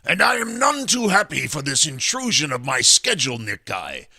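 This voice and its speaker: A pompous old curmudgeon in a comic audio serial. The voice is high and swooping, with a piercing edge. He speaks formally and impatiently. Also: wildly swooping pitch, normal speed, gravelly, sharp tone, breathy edge, full character voice. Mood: indignant. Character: crotchety old male suspect, formal and blustering. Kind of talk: comic radio serial acting.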